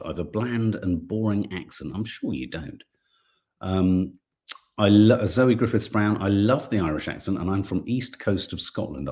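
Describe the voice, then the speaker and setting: A man hosting a casual live stream, chatting and reading viewer comments aloud, sometimes tripping over his words. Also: fairly low pitch. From English Midlands.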